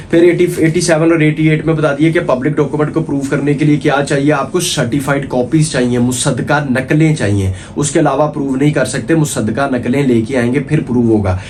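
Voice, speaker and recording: A man's voice: mid-range voice, fairly quick, hardly any pauses, darkish tone, slight background noise. A man with a Pakistani accent giving an informal, explanatory lecture, repeating points and checking in as he teaches. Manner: didactic, repetitive, checks in with "okay". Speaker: law lecturer. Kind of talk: informal explanatory lecture mixing Urdu and English.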